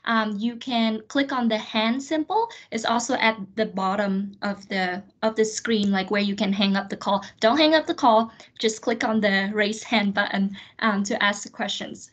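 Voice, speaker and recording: A woman moderates an online alumni panel in a fairly high voice. She speaks hesitantly, with frequent "um"s between her phrases. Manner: hesitant, many "um"s. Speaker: admissions counselor and moderator. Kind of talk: moderating a webinar. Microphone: video call.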